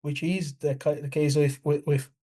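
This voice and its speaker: A man from Brazil with an Italian accent, a native speaker of Italian and Portuguese, chatting casually in a halting, blunt way. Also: fairly high pitch, little pitch movement, dark tone. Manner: halting, repetitive, blunt with swearing. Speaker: language learner. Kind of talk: casual chat.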